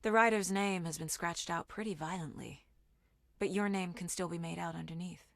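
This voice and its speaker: A very dry, close recording of a sharp federal investigator in a video game. She is measured and thoughtful, working through things in short, clipped phrases with steady determination. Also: low-pitched, slightly rough, no echo, full character voice. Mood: gently probing. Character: determined female FBI agent and mother, sharp, inquisitive investigator.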